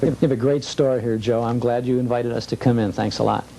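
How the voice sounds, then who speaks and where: A man hosting a TV show in a relaxed, measured, conversational and folksy way. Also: medium pitch, vocal fry, a little hiss or hum, poor audio. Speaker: TV show host.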